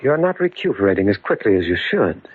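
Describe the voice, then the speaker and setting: An actor playing a solicitous doctor with a husky voice, measured and gently probing, in an old-time radio drama. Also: male voice, fairly low pitch, very animated. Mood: concerned. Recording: thin, phone-like old radio recording.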